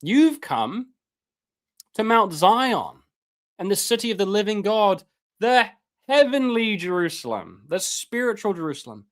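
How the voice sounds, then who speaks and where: A man on a live stream reading Bible passages aloud and commenting on them, with casual asides and his voice going hoarse. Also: big pitch swings, measured pace. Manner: reads scripture aloud then comments, rises in pitch when fervent; casual asides, repeats words, clears throat. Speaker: streamer teaching on scripture.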